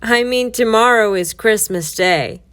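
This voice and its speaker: A woman voicing a busy, fretful lady of the house in a children's storybook, expressive and emphatic as she gives instructions. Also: on the high side, unhurried, pitched above the performer's own voice. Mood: busy instructing.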